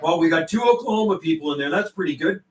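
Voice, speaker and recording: High-pitched man, CB operator, slangy relay chat, roomy distant recording. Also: expressive, fast talker. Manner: repeats and relays messages, slangy. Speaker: CB radio operator and livestream host. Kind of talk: casual radio relay chat.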